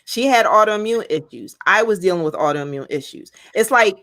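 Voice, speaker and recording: A woman's voice: mid-range voice, expressive, fairly quick, fairly bright tone, no echo. A woman in her fifties hosting a challenge group, talking in an earnest, personal way and repeating key points for emphasis. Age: 50s. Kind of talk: casual group talk.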